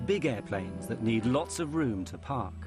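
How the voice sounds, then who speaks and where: A man narrating a documentary in a measured, grave scripted style. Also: medium pitch, very animated, vocal fry, music in the background.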